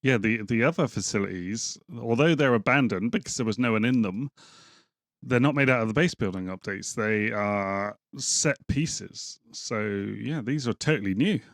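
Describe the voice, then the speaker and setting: A man's voice: medium pitch, normal speed, no room sound, clean studio sound. A man in his thirties casually reacting to a game update video, thinking aloud as he watches, on a headset in a small home room. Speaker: gaming YouTuber reacting to a video.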